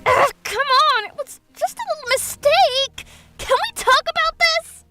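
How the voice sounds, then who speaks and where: A woman playing a self-righteous, greedy and excitable female teacher in a manga dub, with a theatrical, gushing delivery. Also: very high voice, unhurried, soft background music, exaggerated voice. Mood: pleading.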